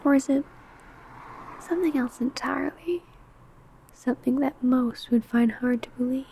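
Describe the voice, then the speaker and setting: Possessive vampire coworker for ASMR roleplay, played by a woman: breathy female whisper, soft and mellow, menacingly teasing, faint background noise. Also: high-pitched. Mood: smug, scolding. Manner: slow, teasing, menacing, deliberate. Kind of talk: ASMR roleplay, intimate monologue to listener.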